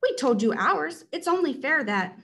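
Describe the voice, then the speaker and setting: A woman's voice: high voice, big pitch swings, normal speed, fairly bright tone, pitched above the performer's own voice, exaggerated voice. A woman voicing an earnest, stubborn child hero in a children's storybook, challenging and suspicious.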